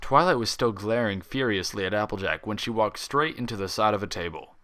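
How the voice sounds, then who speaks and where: A man narrating a fan fiction story as an expressive reader. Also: lowish voice, brisk.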